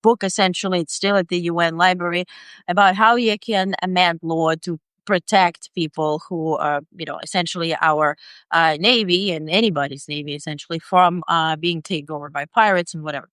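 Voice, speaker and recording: Ukrainian-born woman journalist, lowish clear voice, lots of ums, dry close mic. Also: fairly quick, fairly bright tone, no echo. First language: Ukrainian or Russian. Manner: hesitant, many fillers. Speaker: independent journalist, guest. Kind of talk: interview conversation.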